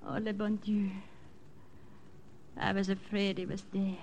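An actress playing a young, lovelorn heiress in a 1950s radio drama, speaking in a breathless, pleading way. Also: female voice, mid-range voice, completely flat delivery, measured pace, very noisy, natural voice. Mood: overjoyed.

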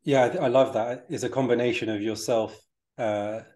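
A man's voice: medium pitch, fairly even intonation, darkish tone. A man hosting a podcast interview who asks questions hesitantly, with many ums and restarts. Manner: hesitant, fills pauses with ums, restarts sentences. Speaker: podcast host.

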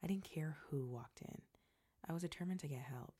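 Woman narrating her story slow and breathy, kinda husky, dark warm tone. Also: sits low. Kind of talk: scripted personal story narration.

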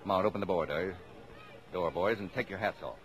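An actor playing a brisk, proud, bossy middle-aged father with pompous, formal phrasing in a 1950s radio play. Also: sits low, close to an everyday voice. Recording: noisy vintage 1950s radio recording.

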